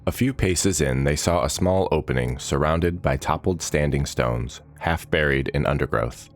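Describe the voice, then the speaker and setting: A man's voice: a bass voice, normal speed, faint music behind. A man reading a scripted fantasy story as its narrator.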